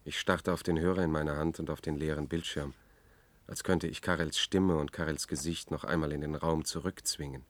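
An actor playing a haunted reporter whom nobody believes, narrating in a German science-fiction radio drama with brooding, measured intensity. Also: male voice, extremely low pitch, steady tone, dry recording. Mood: bitter, brooding. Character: haunted male reporter, disbelieved, obsessive and increasingly unravelling.

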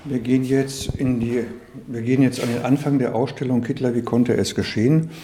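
A man's voice: medium pitch, fairly even intonation, a little fast. A man in his seventies speaking bluntly and informally as an interviewed historian. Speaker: museum founder and historian, interviewee. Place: echoing museum interior. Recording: reverberant large space, faint noise.

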